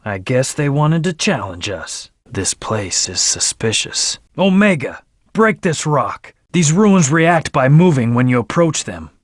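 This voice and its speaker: A man's voice: fairly high pitch, very animated, measured pace, no room sound, studio quality. A man playing a brooding, proud young antihero in a video game, speaking in terse, serious commands with a hard edge. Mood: commanding, urgent. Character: brooding, proud, amnesiac male antihero warrior. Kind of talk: short in-game hint and battle lines.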